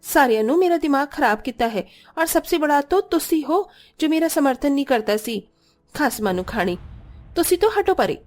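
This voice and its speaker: Woman voicing a shrill, peevish Punjabi sister-in-law. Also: expressive, normal speed, soft background music. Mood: indignant. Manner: complaining, indignant, dramatic.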